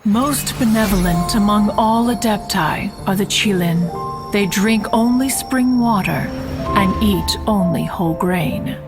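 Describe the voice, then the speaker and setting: An ancient archaic mountain spirit. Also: female voice, medium pitch, takes it slowly. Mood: stately. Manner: archaic inverted speech, refers to self as one. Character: ancient crane spirit, archaic, wry and dignified. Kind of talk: game cutscene voice acting. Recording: game audio with music behind.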